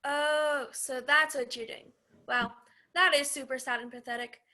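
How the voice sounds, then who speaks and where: Very bright, high, dim sidekick girl, played by a woman. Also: fairly even intonation. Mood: clueless. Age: young adult. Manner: slow to catch on, guileless. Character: dim, naive teenage sidekick of a bully. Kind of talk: amateur stage play acting.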